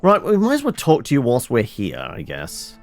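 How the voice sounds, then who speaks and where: A man gives casual, wry commentary while streaming a game. His mid-range voice moves animatedly and often drops into vocal fry as he rambles to viewers. It is recorded close and dry, with faint music underneath. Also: mid-range voice, huge pitch swings, normal speed. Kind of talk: casual live playthrough commentary, chatting with viewers.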